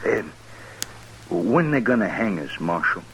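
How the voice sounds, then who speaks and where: An actor playing a dim-witted, amiable outlaw prisoner in an old-time radio western, rustic and guileless. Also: male voice, fairly low pitch, big pitch swings, unhurried, vocal fry, a bit bright, a little hiss or hum, exaggerated voice, low-quality recording.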